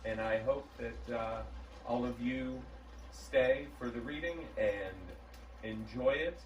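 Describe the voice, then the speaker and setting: A man's voice: mid-range voice, slow pace. A man in his forties speaking as a host, giving a holiday message and reading a storybook aloud. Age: 40s. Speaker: host of a model railroad channel. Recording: roomy, distant mic, faint background noise.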